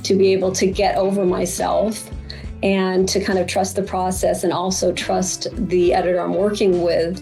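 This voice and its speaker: Middle-aged American female guest, writer, creaky lower register, reflective and hesitant. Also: flat delivery, moderate pace, dark tone, soft background music. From Boston, United States. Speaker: author, interview guest. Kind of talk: reflective interview talk.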